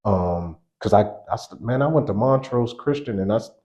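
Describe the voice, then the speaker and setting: A man in his forties, a basketball coach, telling stories in a relaxed interview, laughing often and circling back mid-sentence. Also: fairly low pitch, moderate pace. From grew up on a US army base in Germany. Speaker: college basketball assistant coach, guest. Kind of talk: casual interview storytelling.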